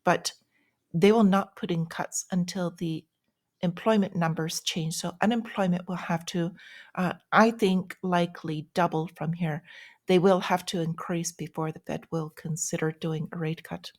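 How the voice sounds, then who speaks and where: A woman hosting an informal market news update, explaining things in short, measured phrases with small pauses. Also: lowish voice, fairly even intonation, darkish tone. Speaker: host of a daily finance update show.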